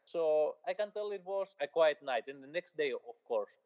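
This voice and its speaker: A man from Greece with a Greek accent, a journalist giving an interview, speaking in short measured phrases with pauses. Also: on the high side, lively intonation, a little mellow. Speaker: journalist guest. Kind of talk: interview answers, eyewitness account. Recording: slightly dull lo-fi audio.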